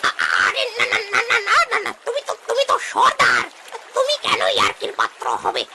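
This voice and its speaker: Crow sidekick: very shrill, hugely swooping, nervous, servile, stammering cartoon delivery. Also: wildly swooping pitch, fairly quick, vocal fry, heavily acted. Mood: nervous, pleading. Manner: stammering, pleading, eager to please. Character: fawning, nervous crow scout serving a predator boss. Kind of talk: cartoon story dialogue. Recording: loud background noise.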